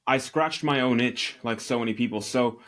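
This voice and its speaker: A man's voice: medium pitch, normal speed, a bit bright. A man from Northeast Scotland with a Scottish accent, a podcast guest telling his story in a candid, self-deprecating, conversational way. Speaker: podcast guest, e-commerce entrepreneur. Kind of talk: conversational interview answers.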